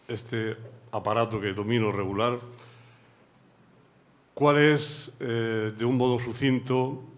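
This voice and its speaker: A Spanish man in middle age gives a formal lecture at a deliberate, slow pace. His delivery is courteous and measured, with frequent pauses as he builds each point. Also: medium pitch. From Spain. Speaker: guest lecturer, judge. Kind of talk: formal academic talk.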